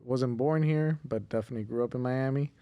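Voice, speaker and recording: A man's voice: mid-range voice, a little fast, dark tone, no room sound. A man from Honduras, a tax attorney guest on a podcast, explaining his work in an easygoing, conversational way. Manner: easygoing, explanatory, laughs lightly. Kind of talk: casual interview chat.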